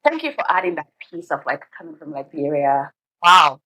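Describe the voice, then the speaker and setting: Low-voiced podcast host woman from Sierra Leone. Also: sing-song, moderate pace, sharp tone, no room sound, poor audio. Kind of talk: casual interview chat. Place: studio in Edmonton, Canada.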